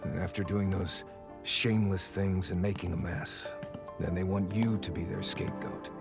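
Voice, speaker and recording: An actor playing a deferential but blunt inventor aide in a dramatic game, explaining technical things in a measured, cautious way. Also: male voice, low voice, steady tone, loud music behind the voice. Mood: dry, matter-of-fact.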